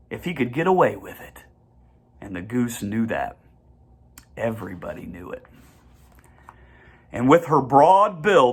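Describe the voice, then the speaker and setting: Storybook narrator, mid pitch, takes it slow, very lively intonation. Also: slightly rough. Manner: expressive. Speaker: audiobook reader.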